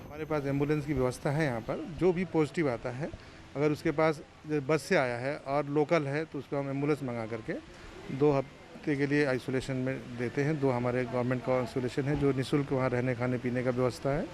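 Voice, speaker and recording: A man's voice: medium pitch, fairly even intonation, fairly quick. A man, an official, explaining procedures in an unscripted interview outdoors. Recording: outdoor field sound with faint traffic noise.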